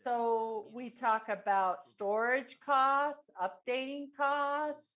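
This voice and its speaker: A woman interpreting a forum speech, delivering it in measured, halting short phrases. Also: on the high side, flat delivery, a bit bright.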